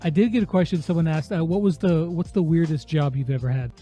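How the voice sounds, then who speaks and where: A man's voice: on the high side, quick pace, mellow, soft background music. A man hosting a casual interview, chatting in a slangy, enthusiastic way. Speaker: interview host.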